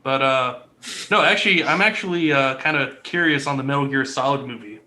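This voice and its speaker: Bright-voiced man cracking wise. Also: mid-range voice. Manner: quick wisecracks. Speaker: podcast co-host. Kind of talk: casual chat.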